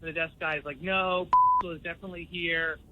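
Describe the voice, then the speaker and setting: A man's voice: fairly high pitch, steady tone, a little fast, phone-quality sound, a little hiss or hum. A man calling in to a radio show, talking about a personal grievance in everyday conversational speech. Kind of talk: recounting and confronting in a phone call.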